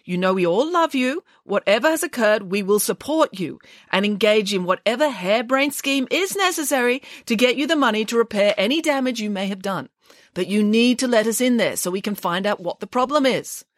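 Woman doing a bossy female pig nanny, brisk, commanding, dry close sound. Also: on the high side, expressive, dry recording, voice pushed up, lightly acted. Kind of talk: storybook character voice.

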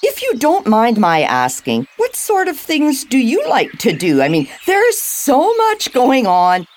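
An actress playing a chatty, friendly older widow who lives in a seniors' residence, in an audio drama. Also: female voice, sits high, huge pitch swings, measured pace, exaggerated voice. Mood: friendly, helpful.

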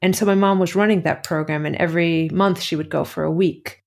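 A middle-aged woman with a slightly low voice and an American accent. She shares reflective personal stories as an interview guest, conversational and often rephrasing as she thinks aloud. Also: steady tone, a little fast, vocal fry. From Athens, Greece.

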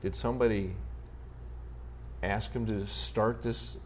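A man hosting an interview, asking questions in an admiring, measured way with hesitant fillers. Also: fairly low pitch, fairly even intonation, darkish tone, a little hiss or hum. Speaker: host.

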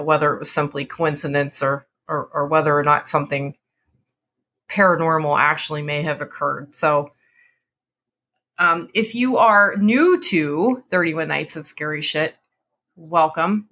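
Bright-voiced woman podcaster, slightly low. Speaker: podcast host and story reader. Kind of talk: dramatic story narration and casual podcast chat.

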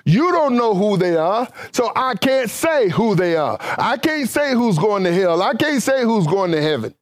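Fervent high-voiced male preacher, dry sound. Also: big pitch swings, normal speed, no room sound. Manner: fervent, rising and falling preaching cadence; casual, conversational phrasing. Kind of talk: sermon.

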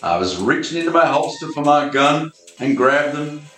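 A man's voice: fairly high pitch, expressive, moderate pace, sharp tone, soft background music. A man playing a blunt, offhand detective. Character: gruff hard-boiled male supernatural detective. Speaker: detective Blaine in a radio play. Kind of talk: amateur community radio play acting. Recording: echoey room.

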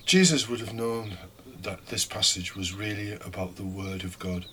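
A man giving a reflective homily in an unhurried, thoughtful way with pauses. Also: on the low side, fairly even intonation, fairly bright tone, slight background noise.

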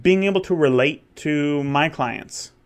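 A man's voice: fairly high pitch, unhurried. A man of thirty, a wedding photographer, talking candidly in a rambling solo monologue with many restarts. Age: 30s. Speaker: wedding photographer and podcast host.

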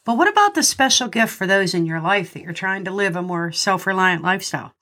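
Woman, middle-aged, podcast host, nasal from a cold, friendly, pauses between list items. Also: mid-range voice, animated, pushed and bright. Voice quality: slightly nasal. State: congested from a cold. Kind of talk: scripted podcast monologue.

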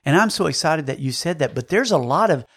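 A man's voice: a little high, animated, fast talker, fairly bright tone, breathy edge. A man hosting a faith interview with enthusiastic, preacher-like emphasis. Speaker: interview host.

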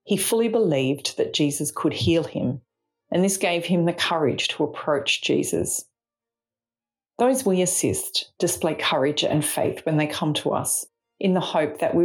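An Australian woman reading a scripted spiritual reflection in a measured, reflective way. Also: low-pitched, faint music behind. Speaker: reflection speaker, charity mission partner.

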